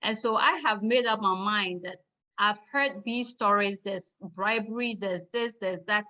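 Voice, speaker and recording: A woman's voice: medium pitch, unhurried, sharp tone. A woman from Ghana, a business owner, speaking firmly and emphatically as a guest on a video call. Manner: firm, emphatic. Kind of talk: casual video call discussion.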